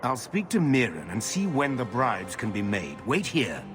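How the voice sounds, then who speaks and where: An actor playing a world-weary, sardonic middle-aged uncle in a video game, dry and grudging, with music behind. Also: male voice, medium pitch, big pitch swings, normal speed, close to an everyday voice. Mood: brisk. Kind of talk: video game cutscene acting.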